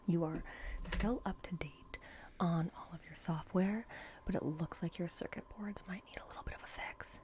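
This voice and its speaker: A woman doing a gentle ASMR roleplay as a reassuring technician, speaking in an unhurried, breathy whisper. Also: fairly low pitch. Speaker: ASMR roleplay performer playing a repair technician.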